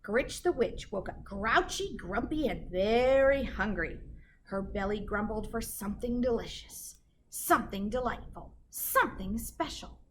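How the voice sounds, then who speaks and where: A woman's voice: mid-range voice, lively intonation, a little slow, slight background noise, rough recording. A woman reading a children's storybook aloud like a teacher, with dramatic emphasis and chatty asides to her listeners.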